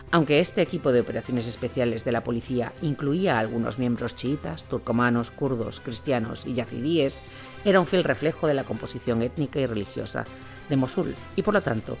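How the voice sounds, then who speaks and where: A man from reading a scripted documentary war narration as the narrator of an audio drama. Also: mid-range voice. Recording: music bed under the voice.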